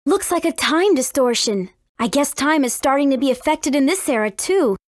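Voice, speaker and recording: Earnest high-voiced teenage princess heroine. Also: female voice, expressive, moderate pace, no room sound, clean studio sound. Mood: informative, earnest. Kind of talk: video game dialogue acting.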